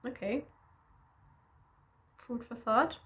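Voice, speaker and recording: Slow, encouraging woman. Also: fairly high pitch, a bit bright. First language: Danish. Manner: reflective and encouraging, often checks in with a questioning "yeah?". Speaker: self-development vlogger. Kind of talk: personal teaching monologue to camera.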